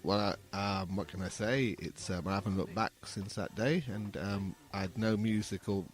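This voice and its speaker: A man in his fifties from England, recalling things as an interview guest in a halting, reflective way. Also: lowish voice, steady tone. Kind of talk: casual interview recollection.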